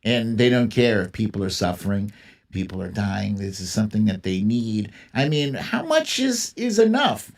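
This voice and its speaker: A man's voice: lowish voice, very animated, normal speed, a little mellow. A man hosting a livestream, giving casual, opinionated political commentary in an informal, emphatic way and repeating phrases for emphasis. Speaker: livestream host.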